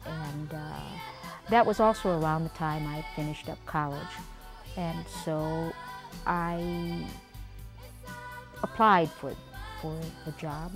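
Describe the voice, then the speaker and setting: Woman from American Samoa, low voice, measured and unhurried, interview recollection with faint music under it. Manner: measured, unhurried, pausing with fillers. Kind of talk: reminiscing interview.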